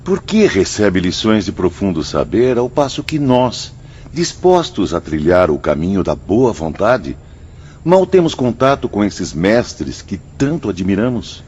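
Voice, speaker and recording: A man's voice: lowish voice, very animated, a little slow, long pauses, a little mellow, slightly rough, full character voice. A Brazilian man playing an aggrieved, questioning spirit apprentice in a radio drama. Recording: dull, muffled drama audio.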